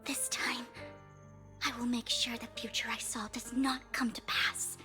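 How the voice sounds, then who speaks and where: An actress voicing a gentle, devoted young woman in a video game, speaking softly and earnestly, with music behind. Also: a little high, level tone, unhurried, natural voice.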